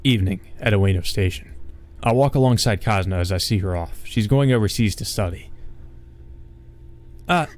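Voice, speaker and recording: A man's voice: fairly low pitch, a little fast, a little mellow. A man hosting a let's play, reading a visual novel aloud and adding casual asides. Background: game music.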